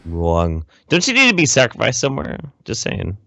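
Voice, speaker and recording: A man's voice: lowish voice, wildly swooping pitch, normal speed, creaky, sharp tone, no echo. A man co-hosting a casual live podcast in a mock-serious, profane and emphatic way. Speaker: podcast co-host.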